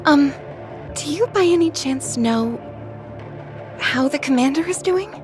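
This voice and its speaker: High-voiced, gentle young woman, unhurried. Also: animated. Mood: anxious. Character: gentle, caring, anxious young woman soldier. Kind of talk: video game voice acting. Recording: background music under voice.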